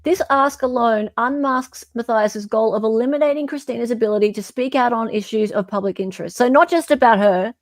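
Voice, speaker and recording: A woman's voice: a little high, a little fast, few pauses, no echo. An Australian woman hosting an online show, reading a legal filing aloud with emphatic, incredulous off-the-cuff commentary.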